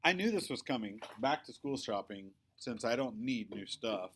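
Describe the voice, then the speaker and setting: A man voicing a sullen, defiant young boy who tells his own hurts in a children's novel read aloud, expressive and aggrieved. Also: medium pitch, moderate pace, slight background noise, lightly acted.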